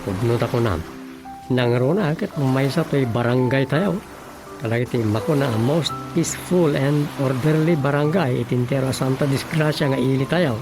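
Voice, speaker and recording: A man's voice: medium pitch, moderate pace, close to an everyday voice. A man playing a village councilman, a prodding sidekick in a radio drama comedy, conversational and questioning with an Ilocano accent. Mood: proud, firm. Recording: music under the voice.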